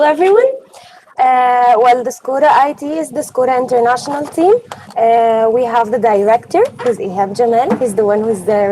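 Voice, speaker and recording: Higher-voiced woman from Egypt presenting. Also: warm tone, low-quality recording. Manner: brisk, listing names and roles. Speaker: committee assistant presenting. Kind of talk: online presentation.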